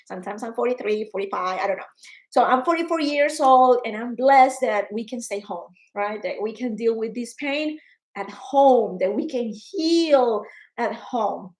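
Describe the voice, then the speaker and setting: Animated woman in her forties vlogging. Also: fairly high pitch, measured pace, low-quality recording. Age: 40s. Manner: emotional, repeats words, animated when frustrated. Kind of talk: personal vlog talking to camera.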